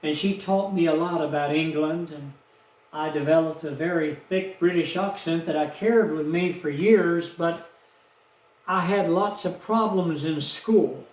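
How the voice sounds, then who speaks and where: A man, telling a rambling personal story in a slow, aggrieved, folksy way. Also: a little high, recorded from a distance. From Texas, USA. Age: 70s. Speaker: vlogger. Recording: echoey room, off-mic.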